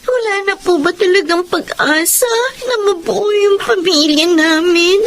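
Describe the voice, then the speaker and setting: A woman's voice: very high voice, measured pace. An actress playing a sad, wistful schoolgirl in a Filipino radio drama, speaking with plaintive emotion. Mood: pleading, hurt.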